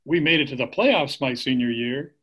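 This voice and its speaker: A man's voice: medium pitch, animated, moderate pace, slightly rough, muffled recording. A middle-aged actor playing a dad who gets defensive and indignant about his past, on a video call.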